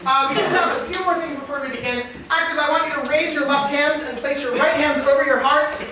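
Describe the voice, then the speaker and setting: High-pitched woman hosting in an echoey hall. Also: fairly even intonation, quick pace. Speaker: host of an improvised staged reading. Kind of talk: live stage introduction and announcing to an audience. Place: theater stage before a live audience. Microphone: distant recording microphone. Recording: echoey hall from far off, noisy audience. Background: crowd laughter and noise.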